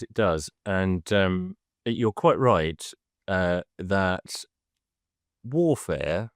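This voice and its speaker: A man co-hosting a podcast and explaining history in casual chat, measured and hesitant with many ums. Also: low-pitched, no echo, studio quality.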